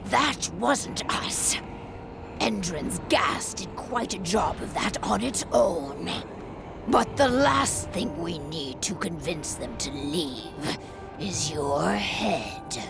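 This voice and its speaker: A proud female werewolf chieftain in a dramatic video game scene. Her low voice moves very slowly, with gravelly fry and breathy, near-whispered falls. She sounds grave, formal and humbled, with music behind her. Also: animated, heavily acted. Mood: cold, menacing. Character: solemn proud female werewolf pack leader, humbled and remorseful.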